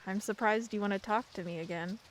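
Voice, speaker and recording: A woman's voice: medium pitch, a little fast, slight background noise, lightly acted, poor audio. A young woman playing a shy, bookish teenage girl in a radio play, hesitant and dryly self-mocking. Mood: wry.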